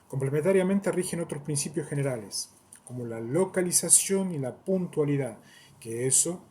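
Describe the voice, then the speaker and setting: A man's voice: mid-range voice, dark tone, a little hiss or hum. A man giving a long, rambling monologue in a solemn, declaiming way, repeating phrases for emphasis. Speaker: self-styled theologian.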